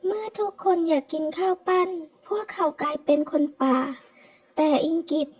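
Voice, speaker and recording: A woman's voice: very high voice, lively intonation, normal speed, a little mellow. A woman voicing a kind, chatty young girl, an earnest childlike younger sister, in a comic read-aloud.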